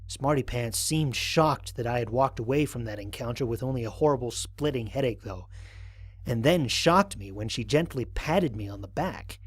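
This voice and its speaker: A thoughtful male space explorer acted by a man dictates his mission log for an audio drama. He speaks in a measured, articulate way at a middling pitch, sounding reflective and a little wary. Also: expressive, husky. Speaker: narrator of a fan audio drama. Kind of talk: scripted first-person mission log narration.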